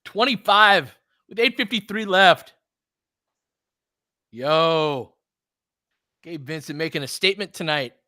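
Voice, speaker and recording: A man doing casual fan play-by-play of a game as a host, in an excitable, slangy style with some swearing. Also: a little high, slow, pauses often, dry recording, studio quality. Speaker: sports podcast host calling a game.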